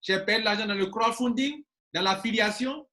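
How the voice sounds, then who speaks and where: Bright-voiced man in his forties, emphatic. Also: sits high, a little fast. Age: 40s. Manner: emphatic, repeating phrases for stress. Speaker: online business coach. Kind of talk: casual talk to viewers.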